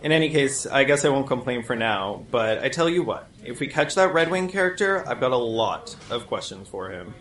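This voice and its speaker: A woman's voice: extremely low pitch, animated, moderate pace, few pauses. A woman playing a cheeky, opinionated police officer bantering playfully in an audio drama. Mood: teasing. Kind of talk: conversational audio drama acting. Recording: roomy, echoing sound.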